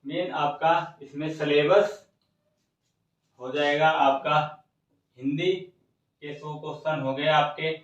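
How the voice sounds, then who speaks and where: Echoey, slow-talking man. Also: on the high side, fairly even intonation. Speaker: exam coaching presenter. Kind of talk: informal explanatory exam update for students. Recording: muffled, echoey room, distant microphone.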